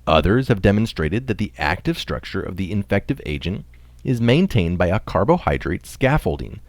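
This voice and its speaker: Male article reader, deep register with lively intonation, scripted science narration, very dry sound. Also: no room sound.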